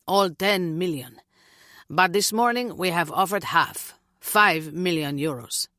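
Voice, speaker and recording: A woman's voice: fairly low pitch, fairly bright tone, no room sound, studio quality. A woman voicing a cold, arrogant police captain with a throaty voice in an audiobook. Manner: slow, measured, cold.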